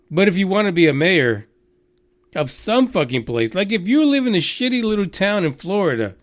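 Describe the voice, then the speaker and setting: A man's voice: high voice, very animated, normal speed. A Texan man hosting a radio news show, rambling and mocking with plenty of swearing and filler words. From Texas. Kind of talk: profane rambling news commentary.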